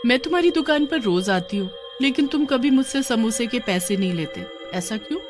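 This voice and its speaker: A woman voicing a charming, confident young woman in a story, in a light, expressive manner. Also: fairly high pitch, brisk, music in the background, voice pushed up, close to an everyday voice. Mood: curious, coy. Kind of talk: dramatised Hindi storytelling.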